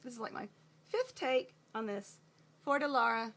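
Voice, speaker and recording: A woman singing with a slightly hoarse voice, sometimes talking between verses with self-deprecating, laughing asides. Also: fairly high pitch, normal speed. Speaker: amateur ukulele player singing for a friend. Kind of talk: home recording of singing with ukulele and asides. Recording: loud ukulele playing under the voice.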